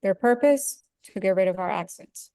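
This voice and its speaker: Participant, woman, mid voice, steady reading, dry close sound. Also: medium pitch, normal speed, clean tone, dry recording. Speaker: workshop participant. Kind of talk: reading a passage aloud.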